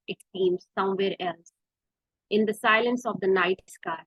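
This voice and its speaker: Female guest poetry reader, medium pitch, recital delivery, dull lo-fi call audio without room echo. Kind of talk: poetry recital with brief introduction. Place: online video call.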